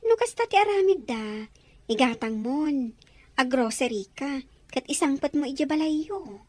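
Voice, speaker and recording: A woman's voice: high voice, animated, a little slow, a little mellow, no room sound. A woman playing a practical confidante giving advice in an Ilocano radio drama, with an Ilocano accent. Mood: advising.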